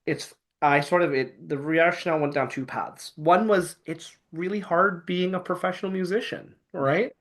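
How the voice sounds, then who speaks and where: A man's voice: fairly high pitch, steady tone, moderate pace, few pauses, a bit bright. A man with a Canadian accent, a podcast guest explaining things in casual, talkative style with plenty of filler phrases like "sort of". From Canada, Toronto area, born in Hong Kong. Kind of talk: casual chat.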